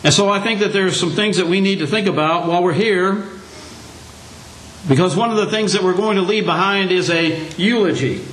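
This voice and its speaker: An older man preaching a Sunday lesson, his voice hoarse and scratchy, speaking in a plain folksy way with a touch of humor. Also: sits high, a little fast, fairly bright tone. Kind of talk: sermon. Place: church meeting room. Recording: echoey room.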